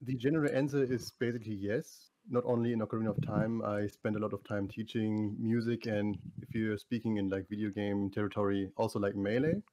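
A man, a guest on a voice call, answering in a relaxed, drowsy, unhurried way. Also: on the low side, no room sound. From Germany. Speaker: guest streamer. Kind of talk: casual interview over voice call.

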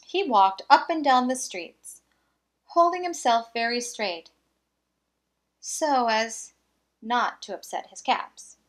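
A woman reading a children's picture book aloud, with expressive, deliberate phrasing and small asides. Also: on the high side.

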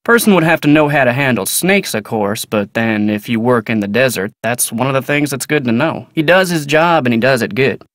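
A man's voice: mid-range voice, lively intonation, a little fast, hardly any pauses, fairly bright tone, dry recording, natural voice. A man playing a folksy American ranch foreman, dry close sound. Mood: guarded, matter-of-fact. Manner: folksy, clipped, matter-of-fact. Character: plain-spoken, guarded ranch foreman, polite cowboy. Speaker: voice actor in a video game. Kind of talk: naturalistic video game character dialogue.

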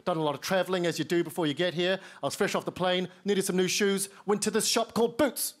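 High-voiced New Zealand comedian on stage. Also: male voice, steady tone, fast talker. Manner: wry, self-mocking, acts out quoted lines in lower voices. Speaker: stand-up comedian. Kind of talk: stand-up set. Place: large TV studio stage. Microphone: handheld mic. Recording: studio stage with audience noise. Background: studio audience laughter.

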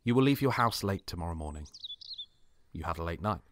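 Mysterious stranger, played by a male actor: low voice, earnest, insistent, ominously knowing, for a dramatic script reading. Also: animated, a little fast, slight background noise. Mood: grave, knowing.

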